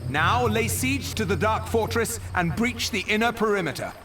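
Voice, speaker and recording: Very high-pitched urgent elf soldier. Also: male voice, animated, normal speed. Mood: commanding. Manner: urgent, declamatory. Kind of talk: fantasy game acting. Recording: distant shouts under loud battle music.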